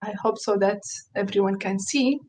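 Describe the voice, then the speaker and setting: A woman's voice: mid-range voice, normal speed, a little mellow. A woman whose first language is Arabic, presenting a screen-share tutorial to teachers, hesitant, with many fillers and restarts, and enthusiastic.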